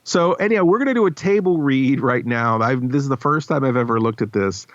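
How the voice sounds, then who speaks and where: Male podcast host, medium pitch, teasing and casual, close dry sound with dulled top end. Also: expressive, fairly quick, dry recording. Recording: slightly dull, dry recording.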